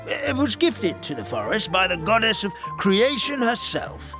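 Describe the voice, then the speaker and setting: Forgetful, animated old grandpa. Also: male voice, sits high, full character voice. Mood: alarmed, earnest. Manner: halting, rambling, theatrical storytelling with stammered repeats. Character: forgetful kindly elderly paper forest dweller, grandfatherly. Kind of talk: video game character storytelling. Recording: studio voice over background music.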